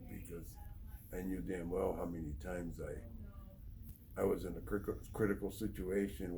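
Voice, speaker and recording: A middle-aged or older man from Poland, sharing personal testimony in a plain-spoken, halting, rambling way as a recovery speaker. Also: low-pitched, gravelly, warm tone, slight background noise, poor audio. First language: Polish. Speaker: recovery speaker, treatment counselor.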